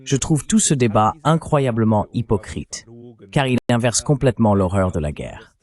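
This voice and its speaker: A man's voice: mid-range voice, expressive, moderate pace, darkish tone. A man acting as interviewer, giving commentary and putting questions in a dubbed interview.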